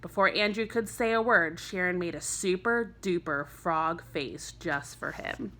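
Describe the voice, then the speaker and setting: A woman's voice: on the low side, measured pace, a bit bright, a little hiss or hum. A woman teacher narrating a children's chapter book expressively for young pupils. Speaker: teacher reading aloud to first graders.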